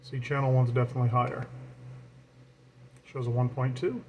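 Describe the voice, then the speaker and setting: A man's voice: medium pitch, level tone, moderate pace, a little mellow, dull audio. A man giving an informal hands-on tutorial as a hobbyist, thinking aloud while he works. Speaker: radio hobbyist demonstrating his equipment. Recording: clear background noise.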